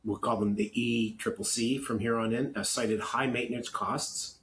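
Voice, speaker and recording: A Canadian man co-hosting a podcast, talking casually and with opinion, in a halting, rambling way with self-corrections. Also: medium pitch, fairly even intonation, fairly bright tone. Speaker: podcast co-host. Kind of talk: opinionated casual podcast talk, partly reading notes.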